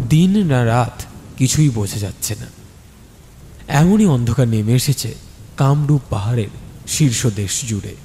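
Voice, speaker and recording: A man's voice: mid-range voice, mellow, slight background noise. A man narrating a scripted story in the first person, in a dramatic storytelling style. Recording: long studio reverb, music behind. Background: background music.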